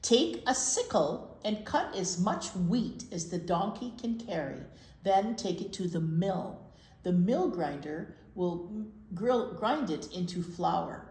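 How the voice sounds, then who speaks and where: A woman voicing a busy, practical storybook mother who briskly hands out instructions. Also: lowish voice, expressive, a little mellow. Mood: busy, instructing. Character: busy, practical mother giving her son chores. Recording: roomy echo with clear background noise.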